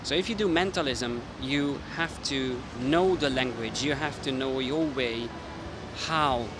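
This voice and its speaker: A man's voice: mid-range voice, measured pace. A man with a Hungarian accent, a mentalist explaining his card tricks casually to the camera while thinking aloud. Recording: street noise with passing traffic.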